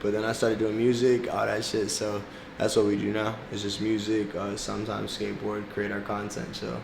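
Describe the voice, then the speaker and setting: A man's voice: on the low side, completely flat delivery, normal speed, vocal fry. A young adult man from South Florida whose first language is Portuguese, talking in a laid-back, slangy way and laughing often as a music artist being interviewed. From South Florida, United States. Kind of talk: casual interview chat. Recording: echoey room with background noise.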